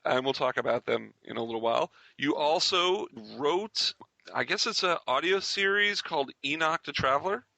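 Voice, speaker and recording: A man's voice: a little high, lively intonation, normal speed, creaky, bright, forceful tone, no room sound, rough recording. A man hosting an upbeat fan podcast in a jokey, enthusiastic way. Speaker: podcast host.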